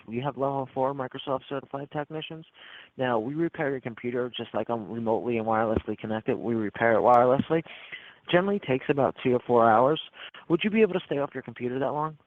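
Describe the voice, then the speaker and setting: A man working as a phone tech support salesman, running a smooth, persistent sales pitch and repeating his selling points over and over. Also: fast, dry recording. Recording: tinny phone line.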